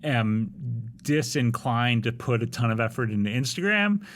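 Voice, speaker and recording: A man's voice: medium pitch, sing-song, normal speed, a bit bright. A man in his forties in casual podcast chat, candid and wry, often restarting his sentences. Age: 40s. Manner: candid, rambling with frequent restarts, wry humor.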